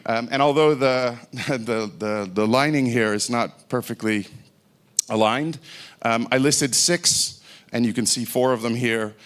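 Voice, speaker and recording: A man's voice: medium pitch, a little slow. A middle-aged Dutch man with an American-sounding accent, giving an informal technical talk from a conference stage, with many fillers and restarts. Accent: American-sounding English. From Netherlands. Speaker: conference speaker.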